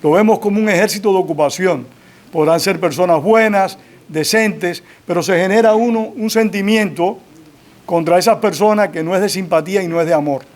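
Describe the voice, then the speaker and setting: High-voiced Cuban man, measured and firm. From Cuba. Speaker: author presenting his book. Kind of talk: answering audience questions at a book presentation.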